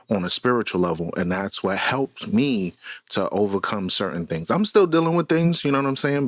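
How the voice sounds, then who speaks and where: A man speaking as a panel guest in a measured, reflective and conversational way about personal views. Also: fairly low pitch.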